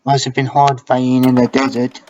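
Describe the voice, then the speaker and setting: A man with an average-pitched, flat voice offers short, casual commentary. He sounds understated and unvaried. The amateur voice-over has clear noise throughout. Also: normal speed, lo-fi sound. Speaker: viewer commenting on game cutscenes.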